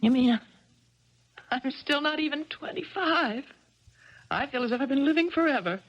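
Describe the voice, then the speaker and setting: Woman acting a bitter young tennis star in 70s radio drama, high voice, muffled old broadcast. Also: normal speed, natural voice. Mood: bitter, jaded. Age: young adult. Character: jaded young female tennis champion, wry and defiant.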